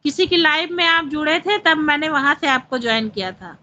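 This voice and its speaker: A woman's voice: high-pitched, animated, a little fast, pushed and bright, lo-fi sound. A woman hosting a casual live stream, chatting with viewers and reading out their comments.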